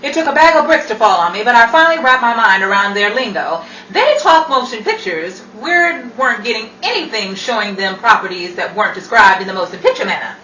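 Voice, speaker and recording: A woman's voice: medium pitch, expressive, brisk, few pauses, bright, forceful tone. A woman playing a flustered but determined house seller, eager to please, in 1940s radio comedy style, theatrical and prone to stammering. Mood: exasperated. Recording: roomy, distant mic, hiss and crowd murmur.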